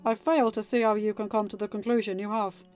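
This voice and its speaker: A woman's voice: mid-range voice, little pitch movement, a little fast, a little mellow, highs cut off, soft background music, natural voice. Woman as a stern, commanding young king. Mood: stern, firm. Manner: firm, formal, hard-edged.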